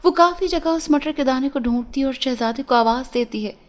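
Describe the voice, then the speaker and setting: A woman's voice: sits high, a little fast. A woman reading a scripted fairy tale as a storyteller.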